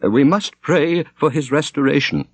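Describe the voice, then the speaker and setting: Guy doing a fluttery anxious old abbot, kindly and pitying, pitched up high, a bit husky, storybook style. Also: animated, moderate pace, darkish tone, dry recording. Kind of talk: audiobook character voice.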